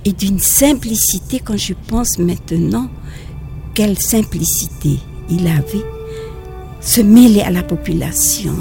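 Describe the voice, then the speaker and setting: An older Polynesian woman talks in French, which is not her first language, in a mellow, gravelly voice. She speaks slowly and haltingly, often starting a phrase again. Faint music plays behind her. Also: mid-range voice. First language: Tahitian. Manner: halting, restarts phrases, gentle. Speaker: nun and teacher. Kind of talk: outdoor interview recollection.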